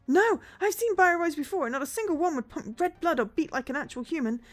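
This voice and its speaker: A woman's voice: high-pitched, animated, fast talker, faint music behind, voice pushed up. A woman voicing a panicky, aggressive male android mechanic while reading a visual novel aloud. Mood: panicked fury.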